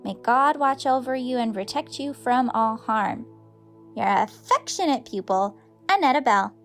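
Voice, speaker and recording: A woman's voice: fairly high pitch, expressive, a little slow, soft background music. A woman playing an adoring schoolgirl who reads a flowery love letter in a gushing, dramatic audiobook style. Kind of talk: audiobook character reading.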